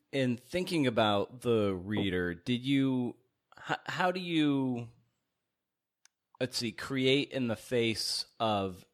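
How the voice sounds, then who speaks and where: A man hosting an interview podcast, asking questions in a halting way and rephrasing as he goes. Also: lowish voice.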